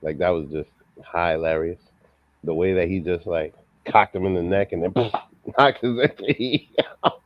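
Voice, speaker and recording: A man with a very low voice hosts a casual movie review panel. He moves between show-style introductions and relaxed discussion. The recording is dry and somewhat dull, with little sense of a room. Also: a little slow, no echo.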